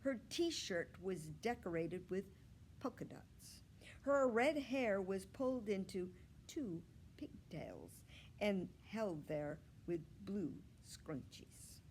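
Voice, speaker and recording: Slow-paced woman reading a children's story. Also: medium pitch, a little mellow. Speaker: library read-aloud storyteller. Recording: faint background noise.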